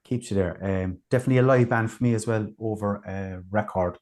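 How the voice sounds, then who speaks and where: A man hosting a casual music review chat, talking in a relaxed, chatty way with plenty of fillers. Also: on the low side, fairly quick. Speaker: podcast host.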